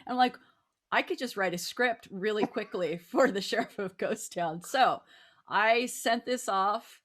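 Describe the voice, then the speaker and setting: A woman's voice: medium pitch, unhurried, fairly bright tone. A woman hosting a talk show in playful, casual banter.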